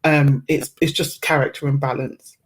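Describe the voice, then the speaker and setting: A woman who is a guest on a podcast, speaking in a reflective, measured way and choosing her words carefully. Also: extremely low pitch, level tone, husky. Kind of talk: reflective conversational interview.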